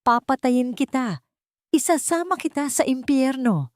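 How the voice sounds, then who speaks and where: A woman's voice: sits high, lively intonation, measured pace, no echo, clean studio sound, voice pushed up. A woman voicing a desperate, murderous male colonel who growls and cries out threats in a dramatic audio story.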